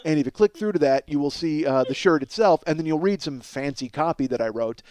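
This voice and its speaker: A man in his forties with a fairly high voice delivers a rambling comic monologue as a podcast host. He swears freely, makes himself the butt of it and leans emphatically on his points. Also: animated, fairly quick, darkish tone, no echo. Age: 40s. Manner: profane, self-deprecating, emphatic.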